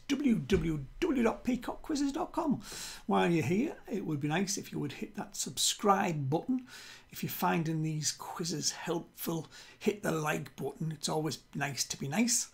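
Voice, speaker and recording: An older British man hosting a quiz, speaking in a deliberate way and repeating himself. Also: fairly high pitch, vocal fry, husky. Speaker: quiz host. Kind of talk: reading quiz questions to camera. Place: small room at home with bookshelves.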